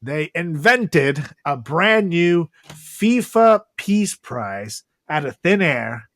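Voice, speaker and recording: Higher-voiced man, joking podcast host. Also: very animated, drawn-out words, a bit bright, dry recording. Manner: profane, joking, theatrical announcer flourishes. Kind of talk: casual comedic banter and mock news.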